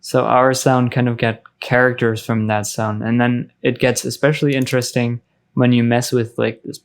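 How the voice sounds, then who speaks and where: A man narrating a casual software tutorial as a music production tutor, informal and explanatory in manner. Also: medium pitch, little pitch movement, moderate pace.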